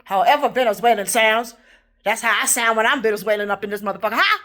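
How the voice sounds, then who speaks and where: A woman ranting in a rambling, profane monologue, swinging between loud outbursts and low muttering. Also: quick pace. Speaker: vlogger.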